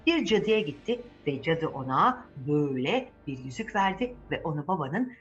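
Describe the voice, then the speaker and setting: A woman's voice: sits low, very animated, moderate pace, dull audio, soft background music. A woman voicing a wise magical crown that explains and reassures in a children's tale. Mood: earnest counsel. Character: wise, kindly magical talking crown giving counsel.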